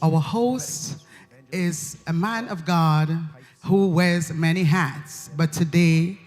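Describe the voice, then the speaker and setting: A man co-hosting a faith show, giving a formal introduction slowly and with many hesitant pauses. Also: a little high, darkish tone, in a large hall, soft background music. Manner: slow, halting, many "uh" pauses. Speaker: co-host introducing the host.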